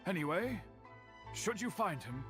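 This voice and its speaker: An actor playing a composed, guarded man in a fantasy video game, speaking in formal, wordy, measured phrases. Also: sits high, big pitch swings, fairly bright tone, heavily acted. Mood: formal, concerned. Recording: clear music behind the voice.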